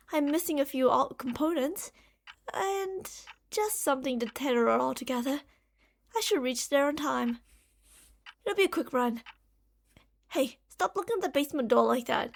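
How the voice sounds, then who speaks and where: A woman playing an impatient, obsessive female mad scientist in an ASMR roleplay, theatrical and condescending. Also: sits high. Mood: guarded, warning.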